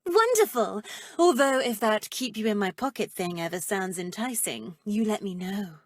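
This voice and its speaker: A woman's voice: mid-range voice, lively intonation, moderate pace, no room sound, clean studio sound, lightly acted. An actress voicing a flirtatious, menacing witch professor in a video game, with an arch, theatrical, haughty delivery. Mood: playful, flirtatious. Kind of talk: video game character voice acting.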